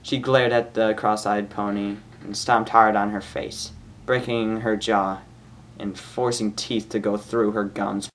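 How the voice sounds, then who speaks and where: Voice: mid-range voice, flat delivery, measured pace, slight background noise. An amateur reader and host narrating fan fiction in an informal, emotive way. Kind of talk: amateur story narration with casual reaction.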